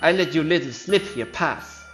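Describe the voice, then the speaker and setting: A man's voice: fairly high pitch, lively intonation, moderate pace, a bit bright. A man voicing a smug, taunting villain creature with a folksy drawl in a game story, with music behind him. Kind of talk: voiced video game story playthrough.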